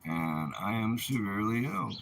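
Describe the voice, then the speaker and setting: A man's voice: lowish voice, expressive, normal speed, vocal fry, fairly bright tone, slight background noise. A man in his seventies delivering an aggrieved personal vlog monologue in an indignant, emphatic way. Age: 70s. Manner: indignant, emphatic, rhetorical questions. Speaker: vlogger.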